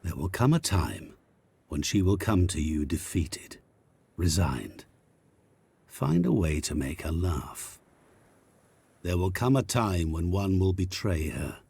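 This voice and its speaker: An actor playing a sly, courteous devil-like merchant of wishes in a video game, speaking in a smooth, genteel, softly menacing way. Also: male voice, low voice, sing-song, takes it slowly, long pauses, heavily acted. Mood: ominous. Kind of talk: video game cutscene dialogue.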